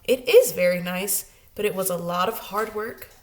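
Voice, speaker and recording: A woman voicing a kindly, sensible frog friend in a children's storybook, gently giving advice. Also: medium pitch, moderate pace, pitched below the performer's own voice, lightly acted. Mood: helpful. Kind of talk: children's storybook read-aloud.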